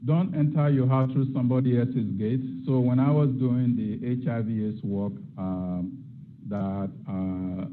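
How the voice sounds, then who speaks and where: A man's voice: mid-range voice, steady tone, measured pace, narrow, tinny audio. A middle-aged man from Nigeria, giving an academic lecture and posing rhetorical questions as a guest lecturer. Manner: reflective, asks rhetorical questions.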